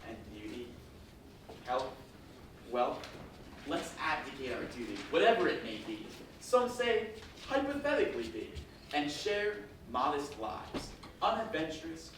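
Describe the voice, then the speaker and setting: A man acts out an earnest young nobleman in love, declaiming poetically on stage. His voice is high and swings widely in pitch. It comes from far off in a very echoey, noisy hall. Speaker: stage performer in a musical. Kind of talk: theatrical staged reading with a cappella singing. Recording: echoey hall, far mic, background hiss.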